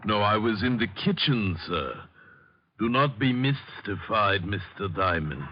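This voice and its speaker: An actor playing a sinister, formal manservant with a stiff, solemn manner in an old radio drama. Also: male voice, fairly low pitch, expressive, measured pace. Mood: solemn, ominous. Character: sinister, formal manservant who believes in spirits. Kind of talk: 1940s radio acting. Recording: muffled old radio recording, faint noise.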